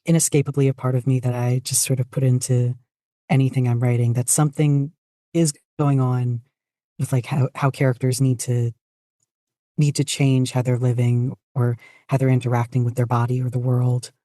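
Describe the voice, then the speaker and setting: A podcast guest answering casually about their own work, in a reflective, measured and self-deprecating way. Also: mid-range voice, flat delivery, warm tone, no room sound, clean studio sound. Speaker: podcast guest, fanfiction writer.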